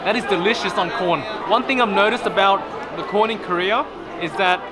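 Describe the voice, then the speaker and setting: A man's voice: sits high, a little fast. Eager man tasting food in a crowd. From Australia. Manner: enthusiastic, talks straight to viewers. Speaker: food vlogger host. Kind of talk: casual food vlog narration. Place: busy night market, outdoors. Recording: loud crowd behind. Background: loud crowd.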